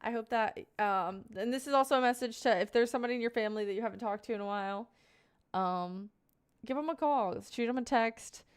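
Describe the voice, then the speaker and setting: A woman's voice: on the high side, a little fast, clear, resonant tone. A woman in her twenties talking casually on a live stream, answering viewer questions in a rambling, earnest way full of fillers. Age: 20s.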